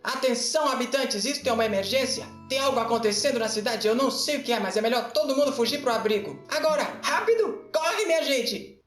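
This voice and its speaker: A man performs a panicky cartoon emergency announcer, pushed high and shrill. The calls are frantic and drawn out, swooping in pitch, with roomy echo. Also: animated, a little fast, few pauses, fairly bright tone, faint music behind. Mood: panicked.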